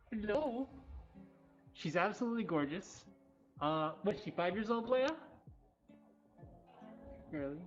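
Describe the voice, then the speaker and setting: Woman livestream host, low voice, informal and affectionate with animals, dull audio with faint music behind. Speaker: cat cafe livestream host. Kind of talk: casual livestream chat. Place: indoors in a cat cafe.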